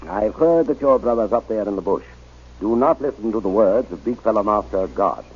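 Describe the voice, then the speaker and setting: Casting: earnest, determined reverend, very raspy, animated, deliberate radio-serial delivery. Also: male voice, fairly low pitch. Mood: coaxing, probing. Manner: deliberate, coaxing, emphatic delivery. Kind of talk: old-time radio drama acting. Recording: muffled, dull old radio recording.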